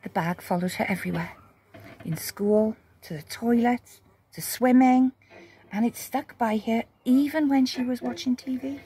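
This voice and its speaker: A woman reading a children's story expressively, with dramatic pauses and emphasis. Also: a little high, mellow, a little hiss or hum, low-quality recording.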